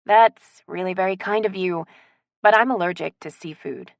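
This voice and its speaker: A woman voicing a polite, soft-spoken young woman from the city in an audiobook. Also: lowish voice, normal speed, gravelly, dry recording, muffled recording.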